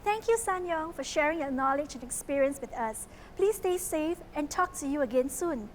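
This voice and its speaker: A woman hosts an event, reading scripted introductions in a loud, projected, upbeat way. Her voice sits high, with faint noise behind it. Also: expressive, moderate pace. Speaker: event host.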